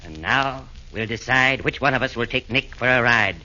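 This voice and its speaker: A man's voice: medium pitch, normal speed, pushed and bright. A pompous chairman of fiends. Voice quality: pompous, sing-song rhyming. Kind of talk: 1940s radio acting. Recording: muffled 1940s radio broadcast.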